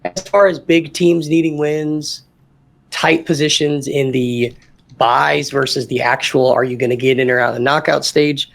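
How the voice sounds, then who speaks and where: A man's voice: on the high side, steady tone, normal speed, gravelly. A man co-hosting a casual soccer podcast, chatty and leaning on "I mean". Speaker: podcast co-host. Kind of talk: casual sports podcast chat.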